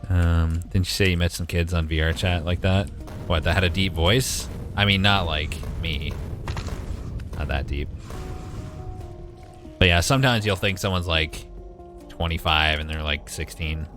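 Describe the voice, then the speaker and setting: A man in his twenties with a deep voice gives casual, wry live gaming commentary and talks back to his chat. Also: unhurried, long pauses, over music. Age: 20s. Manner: wry, joking, mild swearing, talks to chat. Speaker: game streamer.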